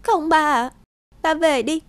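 A woman voicing a sweet, sensible young girlfriend in a cartoon, gentle and polite. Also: high-pitched, slow, no echo. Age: young adult. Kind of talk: cartoon voice acting.

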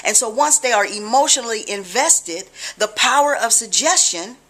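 A middle-aged American woman giving an informal religious talk to camera, conversational and deliberate, with emphatic check-ins to the listener. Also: fairly high pitch, animated, a bit bright. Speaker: religious video blogger. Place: small living room, indoors on a couch.

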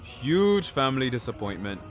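A man's voice: mid-range voice, big pitch swings, moderate pace, loud music behind the voice, close to an everyday voice. A man voicing a chatty, defensive animal lover in a comic audio story, rambling and eager to explain.

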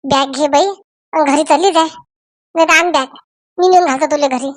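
A woman voicing an excitable, fussing rural auntie in a homemade comedy cartoon, exclaiming and repeating herself in Varhadi Marathi. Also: high-pitched, moderate pace, no room sound, clean studio sound, natural voice. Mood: coaxing. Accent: Varhadi Marathi from Vidarbha. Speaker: cartoon channel's main character and presenter.

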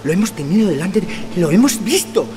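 An actor playing a frantic nervous gentleman on the brink of madness in a horror audio drama, crying out in frenzied exclamations. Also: high voice, expressive, a little fast, faint music behind. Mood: hysterical terror.

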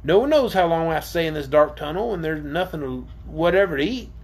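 Reader doing an old sailor guy from a storybook, folksy and kinda doleful, husky, careful and kind. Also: medium pitch, lively intonation, fast talker, darkish tone. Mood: steady. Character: gruff, cautious, kindly old one-legged sailor man. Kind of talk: acted storybook character.

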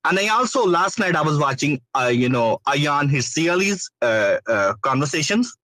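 A man's voice: a little high, very animated, normal speed, sharp tone, no echo. A man from Pakistan, an Urdu speaker, speaking hesitantly with many fillers as a caller. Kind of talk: casual chat.

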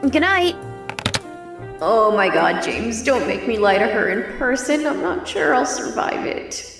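A woman plays a worried young woman in an audio drama. Her voice is high, and music plays clearly behind her. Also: very animated, a little slow, a bit bright, full character voice. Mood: anguished.

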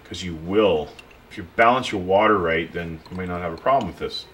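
A low-pitched man explains a repair job as he works at a workshop bench, speaking plainly and directly to the viewer. Tools click and clatter clearly behind his voice. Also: a little fast. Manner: plain, unpolished explaining while working, talking to the viewer. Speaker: pool and spa repair technician. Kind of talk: casual hands-on repair tutorial. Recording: tool clicks and workshop noise.

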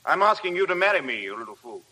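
An actor playing a moody, commanding upper-class gentleman with an English accent, brusque and self-possessed, in 1950s radio drama. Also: on the high side, sing-song, quick pace, slightly rough, heavily acted. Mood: impatient, teasing.

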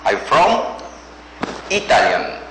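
Animated middle-aged male English learner. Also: medium pitch, takes it slowly. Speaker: language student. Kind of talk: rehearsed beginner dialogue. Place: small classroom indoors. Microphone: clip-on lapel mic. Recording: echoey room, clear background noise.

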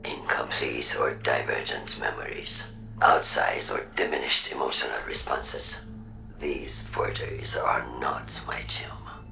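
A whispering, gravelly obsessed scientist. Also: female voice, rumbling low, steady tone, over music, exaggerated voice, rough recording. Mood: cold, clinical. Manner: measured, clinical, self-justifying. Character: obsessed, cold woman scientist recording a personal log. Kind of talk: dramatic scripted game voice acting.

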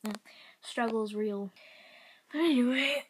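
Husky young woman rambling to her phone. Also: on the high side, slow pace. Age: young adult. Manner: rambling, self-mocking, drifts into soft whispers. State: congested. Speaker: vlogger. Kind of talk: casual vlog chat. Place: bedroom, indoors. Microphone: phone camera at arm's length.

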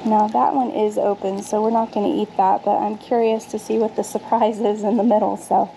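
A woman's voice: medium pitch, level tone, a little fast, creaky, mellow, a little hiss or hum, poor audio. A woman in her thirties chatting casually to camera outdoors, thinking aloud as she sorts her dumpster finds. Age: 30s. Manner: chatty, thinks aloud while sorting items. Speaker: vlogger sorting dumpster finds. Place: outdoors on a backyard patio.

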